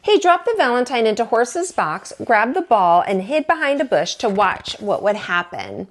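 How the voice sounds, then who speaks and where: A woman reading a children's picture book aloud as a storytime teacher, in an expressive, deliberate way. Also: a little high. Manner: animated, deliberate storytelling delivery.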